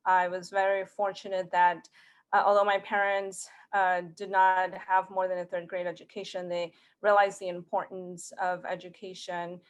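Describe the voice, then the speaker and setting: A woman from Mexico whose first language is Spanish, giving a conference career talk in an earnest, halting way with many fillers and restarts. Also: fairly low pitch, flat delivery, clean tone. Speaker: conference speaker.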